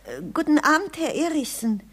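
High-voiced, flustered older landlady. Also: lively intonation, fairly quick. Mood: flustered, hesitant. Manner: hesitant, stammering, easily moved. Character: flustered, soft-hearted, disapproving older landlady. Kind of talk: German radio comedy acting, 1960s.

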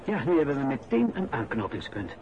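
An actor playing an incoming director who talks in a deliberate, pointed, probing way in a radio play. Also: male voice, mid-range voice, sing-song, lightly acted. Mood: pointed, insinuating. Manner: deliberate, insinuating. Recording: muffled recording with clear background noise.

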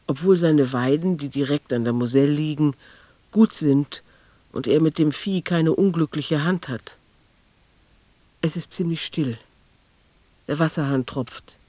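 A man's voice: a little high, darkish tone, no room sound, lightly acted. A man performing as a sober, precise narrator in a literary radio play.

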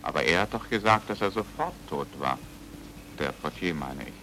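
An actor playing a stubborn foreign pulp novelist turned investigator in a radio drama, speaking in a direct, terse, insistent way. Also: male voice, a bass voice, animated, normal speed, pushed and bright, slight background noise, full character voice.

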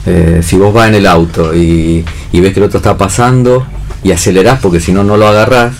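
A man's voice: deep voice, fairly even intonation, brisk. An Argentine man speaking as a theatre director in a casual radio discussion, reflective and often checking in with short tag questions. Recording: noisy radio studio recording.

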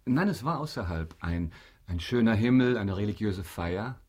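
Radio drama lead: calculating aristocrat, low husky voice, courteous, deliberate, quietly insinuating. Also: male voice, lively intonation. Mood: probing, polite. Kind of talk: German radio drama acting.

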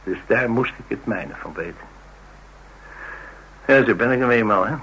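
An actor playing an inquisitive, talkative witness who recounts suspicious events wryly in a radio drama. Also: male voice, fairly low pitch, expressive, moderate pace, fairly bright tone, slight background noise, rough recording. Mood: eager, wry. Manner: wry, colloquial storytelling.

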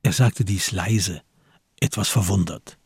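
A man narrating a literary crime story for a radio play in a husky voice, measured and grave. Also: deep voice, darkish tone, no echo, clean studio sound, heavily acted. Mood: sober, hushed. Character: sober male storyteller of a crime tale.

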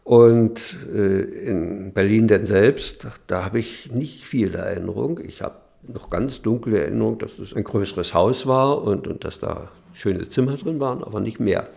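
An older man recalling childhood memories in an unscripted interview, halting as he searches for words. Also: on the low side, vocal fry, a little mellow.

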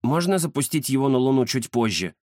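A man's voice: mid-range voice, big pitch swings, fairly quick, a little mellow, no room sound, clean studio sound, lightly acted. A man voicing a polite, curious young male cat with a mild, earnest manner in a children's story. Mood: calm, curious.

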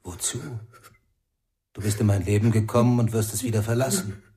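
An actor playing a calm, stoic man in a radio crime drama, speaking in a measured, terse and unyielding way. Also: lowish voice, close to an everyday voice. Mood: stern, philosophical. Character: calm, stoic male guardian of an addict.

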